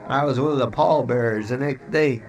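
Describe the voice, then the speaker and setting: A man's voice: medium pitch, normal speed, a little mellow, dull audio, faint music behind, lightly acted. A man acting an anxious, folksy American sidekick in an old Western radio drama, with a rustic, fretful drawl. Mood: foreboding, insistent. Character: anxious, folksy male sidekick of a frontier marshal.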